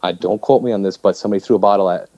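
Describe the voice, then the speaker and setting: Fast-talking man on a dull, roomy line. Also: deep voice, warm tone. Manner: emphatic, repeats himself for emphasis. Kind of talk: impassioned opinion talk. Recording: dull, roomy lo-fi call audio.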